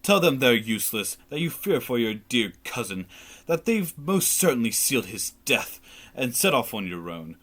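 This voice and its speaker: A man's voice: medium pitch, very animated, normal speed, fairly bright tone. A teenage actor playing a treacherous middle-aged king in a school radio play, smug, mocking and theatrical. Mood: scheming. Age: teens. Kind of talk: amateur radio play acting.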